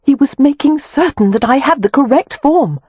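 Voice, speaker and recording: Reader doing prim young lady, high and breathy, indignant, lively swings. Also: normal speed, no echo. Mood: exasperated. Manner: gasping, protesting, indignant. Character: young noblewoman, composed but exasperated.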